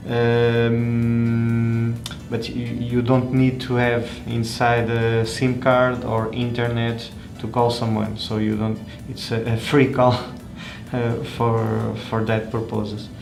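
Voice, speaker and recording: A man giving an unscripted hands-on product review in a halting, hesitant way, often pausing and repeating words. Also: medium pitch, completely flat delivery, gravelly, roomy sound, over music. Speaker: tech reviewer presenting a phone.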